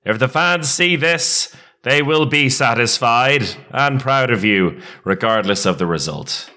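Guy doing a furious sports fan reading out a letter, super dramatic and slow, sharp bright voice. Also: medium pitch, expressive, pitched above the performer's own voice. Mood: indignant. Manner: melodramatic, emphatic, slow declaiming. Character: outraged sports fan group declaiming an open letter.

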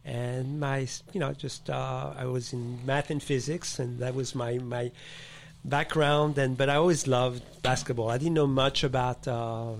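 A man's voice: mid-range voice, fairly even intonation, a little slow, gravelly, slight background noise. Older man, searching for words. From born in Morocco, raised in Paris. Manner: searching for English words, quiet and trailing. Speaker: guest, fashion designer. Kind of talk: casual interview chat.

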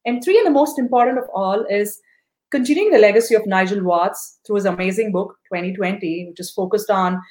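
A woman's voice: medium pitch, fairly quick, a little mellow. A woman hosting a podcast interview, encouraging and praising her guest, with many fillers. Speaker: podcast host.

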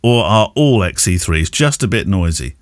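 A man with a fairly low voice hosts a chatty podcast, reading questions aloud and nudging his guest with "isn't it?" style prompts. The recording is close and very dry. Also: animated, normal speed, no echo. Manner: inquisitive, prompting with tag questions. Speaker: podcast host. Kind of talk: casual chat, reading listener questions.

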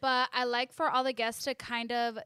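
A woman's voice: on the high side, level tone, moderate pace, bright, forceful tone, smooth, dry recording. A woman hosting a casual interview podcast with an effusive, gushing manner, sometimes mixing in Spanish phrases. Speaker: podcast host.

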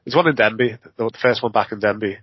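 A man's voice: on the low side, fast, slightly rough, dry recording. A man in his forties interviewing a wrestler in casual chat, stumbling over repeated words and laughing often. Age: 40s. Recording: dry, dull lo-fi audio.

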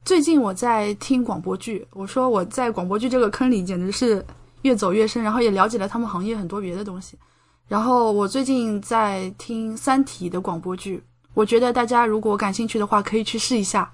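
A young woman from China chatting casually as a podcast guest, expressive and enthusiastic in the way she talks. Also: mid-range voice, brisk. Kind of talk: casual chat.

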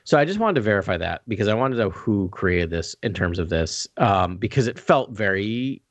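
Low-voiced man chatting on a podcast. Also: sing-song, a little fast, dry recording. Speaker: podcast panelist. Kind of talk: casual review discussion.

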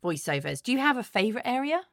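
A woman's voice: a little high, sing-song, fast, a bit bright, dry recording, clean studio sound. Woman host asking brief questions. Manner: brief encouraging replies and questions. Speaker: podcast host. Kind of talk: casual interview.

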